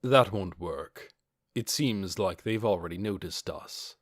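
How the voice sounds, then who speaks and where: Man acting a cool, measured armored warrior. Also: sits low, no echo, clean studio sound, voice pushed down. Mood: dry, whispering aside. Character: calm, coldly confident armored male adventurer.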